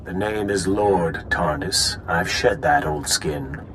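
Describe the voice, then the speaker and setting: An actor voicing a cold, haughty male dark lord villain in a sci-fi video game, imperious and disdainful. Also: low voice, very animated, slow pace, vocal fry, a little hiss or hum, full character voice. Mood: contemptuous.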